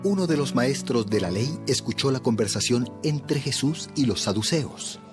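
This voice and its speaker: A male narrator reads a scripted, dramatized audio Bible. His pitch is average for a man, and music plays clearly behind him. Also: animated, moderate pace.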